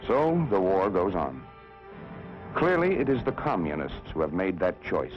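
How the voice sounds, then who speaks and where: A deep-voiced American man delivers solemn propaganda film narration from the 1960s. His declamatory reading rises and falls with strong emphasis. The sound is a dull old film soundtrack with music clearly behind him. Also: big pitch swings, a little slow. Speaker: documentary narrator.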